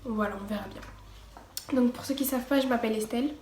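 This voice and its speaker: A woman's voice: fairly high pitch, fairly quick, a little mellow. Teenage girl, echoey room. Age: teens. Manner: hesitant, many fillers and restarts; partly reading from notes. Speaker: vlogger. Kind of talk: casual personal testimony. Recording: echoey room, faint background noise.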